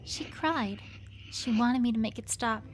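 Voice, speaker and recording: A woman voicing a frightened, despairing young pony in an anguished, halting reading of a horror story. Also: fairly high pitch, background noise, natural voice. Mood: bleak despair. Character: despairing, frightened young female pony writing her last journal. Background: music.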